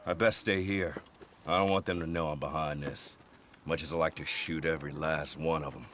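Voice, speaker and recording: An actor playing a cold, mercenary outlaw gang boss in a Western game, with an American accent and a folksy, menacing drawl. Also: male voice, rumbling low, steady tone, moderate pace, fairly bright tone, slight background noise. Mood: cold, scheming. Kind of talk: game cutscene acting.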